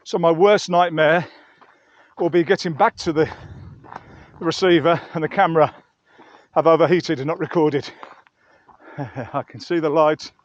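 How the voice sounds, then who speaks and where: A man's voice: fairly high pitch, a little slow, pauses often, highs cut off. A man talking casually to camera as an outdoor vlogger, chatty and narrating his own progress on a trail. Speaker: outdoor vlogger and gear reviewer. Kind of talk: casual vlog talk to camera.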